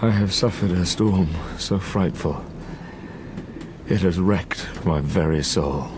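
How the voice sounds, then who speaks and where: An actor playing a dying, tormented man who gravely narrates his past in a formal audio drama. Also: low-pitched, takes it slowly, noisy background. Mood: anguished, grave.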